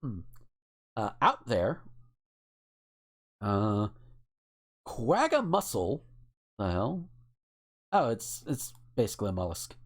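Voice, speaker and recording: Casual, sweary podcast host, mid-range pitch, very lively intonation, halting read of lists with joking asides. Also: husky. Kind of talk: casual chat, reading out word lists.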